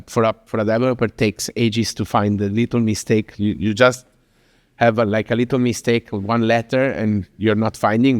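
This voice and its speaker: A man's voice: fairly low pitch, no echo, studio quality, big-room sound. A man from Italy with an Italian accent, giving a chatty, informal presentation in English with many fillers and restarts. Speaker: speaker at a business talk. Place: venue in Lisbon.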